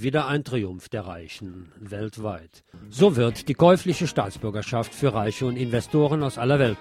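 A man's voice: medium pitch, a little mellow. A man presenting scripted radio news, reading reports and adding wry, sardonic asides.

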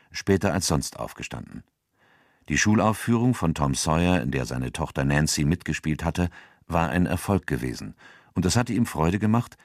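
A man reading scripted literary narration as a narrator, measured and matter-of-fact. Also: low voice, darkish tone, no echo, clean studio sound. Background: music.

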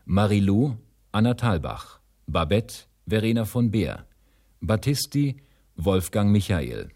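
Measured, slow-paced male radio announcer. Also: fairly low pitch, sing-song, a little mellow. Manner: measured, formal. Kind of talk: scripted credits announcement.

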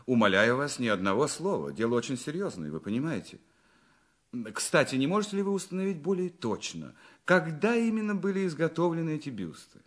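A man acting a courteous, probing, confident detective in a Russian audio play, questioning politely and deliberately. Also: fairly high pitch, sing-song. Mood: urgent, courteous.

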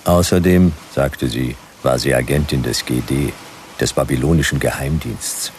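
An actor playing a laconic private detective in a radio play, dry, terse and sardonic, with noir-style narration. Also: male voice, rumbling low, normal speed, darkish tone. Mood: laconic narrating. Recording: radio play with background noise.

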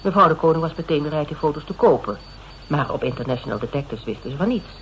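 An actor playing a persistent, polite private detective in a Dutch radio drama, speaking in a measured, explanatory, quietly probing way. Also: male voice, fairly high pitch, lively intonation. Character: persistent male private detective questioning a suspect, methodical and polite. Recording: noisy old radio drama recording.

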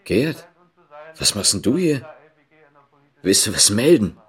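A man's voice: lowish voice, big pitch swings, slow pace, breathy edge, heavily acted. An actor playing a dutiful, guarded East German policeman in a radio drama, speaking tersely and with restraint. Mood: wary, probing.

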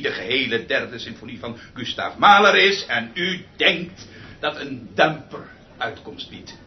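An actor playing a pompous, exasperated, tyrannical perfectionist conductor in a radio play, emphatic and theatrical. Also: male voice, mid-range voice, lightly acted. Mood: grave, obsessive. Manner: theatrical, emphatic, swinging from muttering to bellowing. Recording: muffled, noisy old radio play recording.

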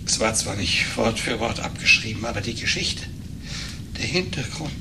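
An actor playing an agitated, embittered failed writer in a German radio play, speaking haltingly and accusingly. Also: male voice, on the low side, expressive, creaky. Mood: bitter, halting. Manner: halting, emotionally wrought, accusing. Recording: noisy 1980s radio play recording.

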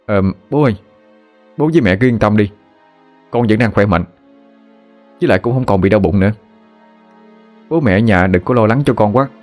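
A man voicing a sick young man who talks quickly and earnestly. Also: fairly low pitch, a little mellow, soft background music, lightly acted. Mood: reassuring.

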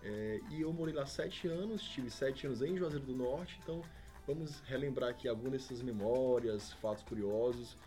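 Journalist guy on a podcast, mellow relaxed voice, soft music behind. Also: medium pitch, moderate pace. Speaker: journalist colleague, guest. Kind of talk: casual conversation.

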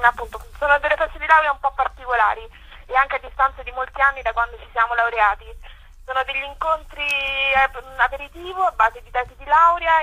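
A woman's voice: high voice, pushed and bright, poor audio. A woman speaking as a guest in a radio interview, explaining her project in enthusiastic, long flowing sentences.

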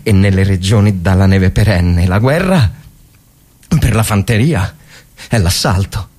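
Man performing a weary trench officer, very slow delivery, lowish pitch, brooding, halting, repetitive, theatrical war monologue. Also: a little mellow. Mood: grave, insistent. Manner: halting, repetitive, brooding, swinging between murmurs and outbursts. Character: worn-out, haunted male army officer in mountain trenches. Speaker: actor reading a war story. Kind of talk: dramatic theatrical monologue from literary fiction.

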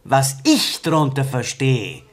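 An actor playing a combative, bitter Marxist party rebel in a radio play, with a blunt, sarcastic way of talking. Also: male voice, mid-range voice, sing-song, takes it slowly. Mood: sarcastic, challenging. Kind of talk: German-language radio drama acting.

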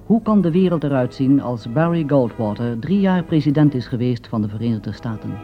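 A man's voice: medium pitch, animated, brisk, music in the background. A man formally announcing a radio play in a scripted style. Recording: muffled old radio recording.

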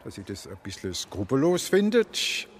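An actor playing a self-assured, scheming police chief with a Swabian accent, expansive and ironic, in a radio drama. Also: male voice, medium pitch, very animated, normal speed. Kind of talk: German radio drama acting.

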